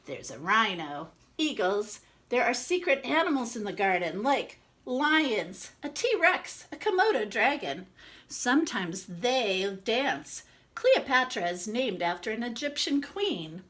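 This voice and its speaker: A woman's voice: a little high, sing-song, slow pace, fairly bright tone. A woman reading a picture book aloud expressively, with informal asides as she points out the illustrations.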